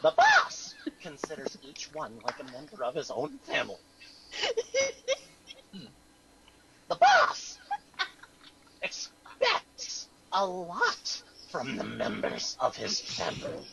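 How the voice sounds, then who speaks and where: Man doing a gravelly, melodramatic boss, wildly swooping. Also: on the high side, wildly swooping pitch, very noisy, heavily acted. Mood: pompous menace. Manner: exaggerated, halting, drawn-out delivery. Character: melodramatic boss in a mock-solemn email, sliding into mobster and creature. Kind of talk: mock dramatic reading.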